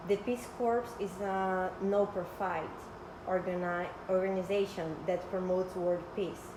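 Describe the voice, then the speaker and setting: A woman's voice: medium pitch, roomy sound, background noise. A woman working as a recruiter, asking interview questions with small slips and restarts. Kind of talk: mock job interview.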